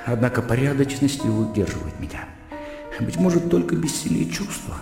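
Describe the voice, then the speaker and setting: Radio drama role: brooding, self-reproaching gentleman, gravelly, deliberate and formal, heard in long hall reverb. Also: mid-range voice, animated. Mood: anguished, self-reproaching. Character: brooding, self-absorbed educated gentleman tormented by attraction. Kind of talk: Russian radio drama acting. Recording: reverberant hall with music behind.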